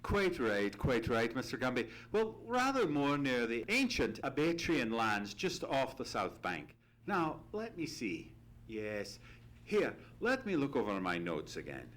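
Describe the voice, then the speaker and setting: A man playing a genial, fussy English gentleman storyteller in a hearty, theatrical old-time radio drama style. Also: medium pitch, wildly swooping pitch, measured pace. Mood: reflective storytelling. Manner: hearty, theatrical, repeats phrases. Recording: live theatre stage recording.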